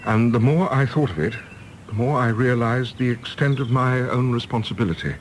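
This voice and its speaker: An actor playing an earnest, awkward middle-aged gentleman in a radio play, formal, wordy and insistent. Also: medium pitch, normal speed, slight background noise, close to an everyday voice, poor audio. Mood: earnest, urgent.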